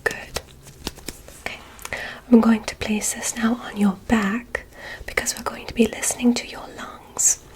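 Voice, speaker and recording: Slow whispering alien doctor played by a woman. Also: a little high, level tone, natural voice, low-quality recording. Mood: soothing, clinical. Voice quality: breathy whisper. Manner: slow, soothing, softly formal instructions. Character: gentle, curious female alien doctor examining a human. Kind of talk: ASMR medical roleplay.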